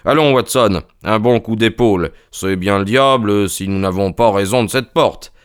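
A man voicing a sharp, confident detective in a brisk, authoritative, emphatic way. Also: fairly low pitch, clean tone, no echo, studio quality, close to an everyday voice. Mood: decisive. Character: sharp, commanding male detective, confident and urgent.

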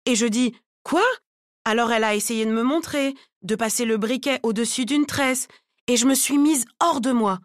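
Woman acting indignant, dramatic salon customer, raised pitch. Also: moderate pace, dry recording, clean studio sound, lightly acted. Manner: chatty, dramatic storytelling. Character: outraged woman salon customer recounting a grievance.

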